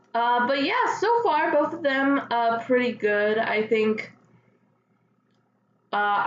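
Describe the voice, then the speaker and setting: A woman's voice: mid-range voice, reverberant, lo-fi sound, slight background noise. A woman hosting casual fan news commentary, speaking as a gushing enthusiast with hesitant, filler-dotted delivery. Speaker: host of a fan news show.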